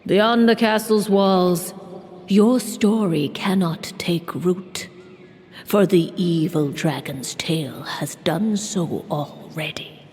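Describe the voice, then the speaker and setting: A woman playing a solemn mystical figure who tells a legend in a measured voice and at times drops to a whisper. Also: on the low side, a little mellow, slight background noise. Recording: game dialogue with long reverb.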